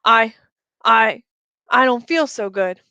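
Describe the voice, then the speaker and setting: A woman voicing a frightened, angry teenage boy in a story, halting and repeating himself as he fades away. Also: fairly high pitch, expressive, pushed and bright, clean tone, no echo, heavily acted. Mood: scared, confused.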